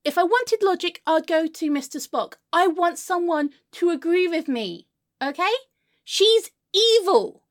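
A woman's voice: very high voice, animated, a little slow. A woman playing a melodramatic, irrational, foul-mouthed character in a comedic monologue, histrionic and swinging between sulky muttering and wailing. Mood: stubbornly indignant. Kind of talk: comedic monologue to an unheard friend.

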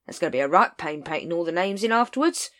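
A woman's voice: lowish voice, animated, fast, fairly bright tone. A woman voicing a whining, wheedling street sausage vendor with pushy salesman patter.

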